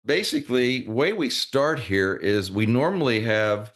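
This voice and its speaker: A man's voice: mid-range voice, animated, a little slow. A man hosting a podcast, introducing his guests in an orderly, conversational way. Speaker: podcast host. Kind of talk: scripted-sounding podcast introduction.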